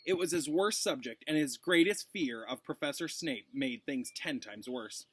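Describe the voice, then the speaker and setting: A man, a teacher, reading a storybook aloud in an expressive narrating style. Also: fairly high pitch, moderate pace, slightly rough, no echo.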